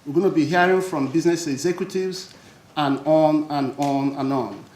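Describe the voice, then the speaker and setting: A man's voice: fairly high pitch, a little mellow. Man giving a welcome speech in a hall. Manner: jovial, fills pauses with uh. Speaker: conference speaker. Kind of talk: welcoming address. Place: large lecture hall. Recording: echoey hall.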